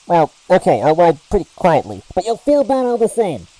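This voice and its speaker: Nasal Sniffet guard, anxious, whiny, high-pitched, storybook. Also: male voice, wildly swooping pitch, normal speed, dark tone, poor audio. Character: timid nasal male Sniffet doorkeeper, jittery. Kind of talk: storybook voice acting.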